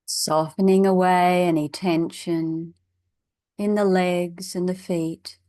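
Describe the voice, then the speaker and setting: A woman leading a guided meditation as a teacher, in a measured, instructional way with long pauses. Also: on the low side, fairly even intonation, warm tone, no room sound, clean studio sound.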